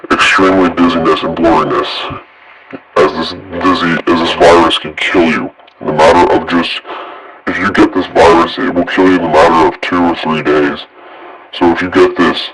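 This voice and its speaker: A man playing a deep-voiced, grave emergency broadcast announcer. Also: little pitch movement, natural voice, poor audio. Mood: grave warning. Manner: grave, halting, repetitive. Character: emergency alert broadcast announcer warning of deadly virus.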